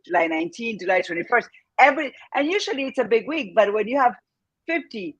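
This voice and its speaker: A woman's voice: fairly high pitch, lively intonation, fast talker, breathy edge, no room sound, lo-fi sound. A woman in her fifties from Argentina with an Argentine Spanish accent, a business owner speaking candidly and reflectively as an interview guest, with frequent fillers and laughs. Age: 50s. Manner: reflective, frank, laughs often, many fillers.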